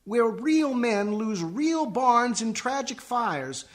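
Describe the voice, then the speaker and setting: A woman's voice: fairly high pitch, sing-song, slow pace. A woman playing an earnest, defiant grown son who wants to be a country singer, acted melodramatically for a comic radio play. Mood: melodramatic.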